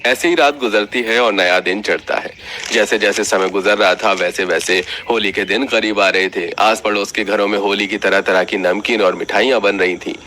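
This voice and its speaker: A man's voice: lowish voice, fairly even intonation, moderate pace, pushed and bright, a little hiss or hum. A man narrating a scripted children's story as the storyteller.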